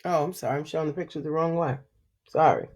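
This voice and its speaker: A woman reading a picture book aloud to children, slow and expressive, pausing to ask them questions. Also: deep voice. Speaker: children's story reader. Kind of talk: read-aloud storytelling for children.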